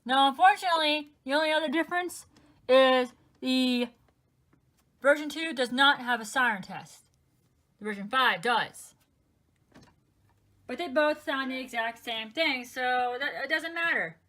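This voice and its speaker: A chatty young woman with a fairly high voice talks through her collection in a relaxed home vlog. She counts items aloud one by one, easygoing and informal. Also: measured pace, long pauses. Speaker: weather radio collector. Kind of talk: casual show-and-tell vlog.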